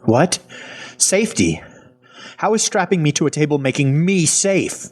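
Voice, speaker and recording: An actor playing a weary, sardonic captive who narrates his own horror story in wry, deadpan, clipped phrases with a husky voice. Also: low-pitched, measured pace, heavily acted. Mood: irritated. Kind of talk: first-person audio fiction narration.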